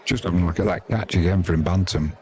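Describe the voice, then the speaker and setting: A man's voice: low voice, quick pace, mellow. A man with an English accent giving chatty, wry, self-deprecating amateur live commentary on cricket. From England.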